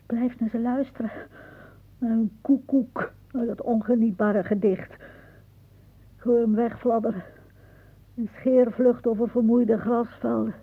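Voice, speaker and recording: A woman's voice: fairly high pitch, slightly rough. An actress playing a stubborn, weary, dreamy woman in a theatrical radio drama. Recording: muffled, phone-like old radio recording.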